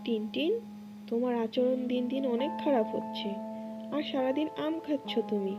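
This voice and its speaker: A woman's voice: sits high, normal speed, dull audio, lightly acted. A woman voicing a worried, tender mother bird in a cartoon, with anxious motherly questioning. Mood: reproachful. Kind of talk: cartoon storytelling dialogue. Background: music.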